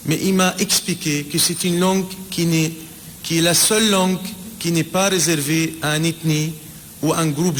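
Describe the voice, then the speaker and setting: A man in his forties whose first language is Arabic, giving a measured, reflective spoken tribute as an ambassador, pausing and restarting his sentences. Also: a little high, a bit bright. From Palestine. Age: 40s. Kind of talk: spoken personal testimony. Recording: reverberant room with faint background noise.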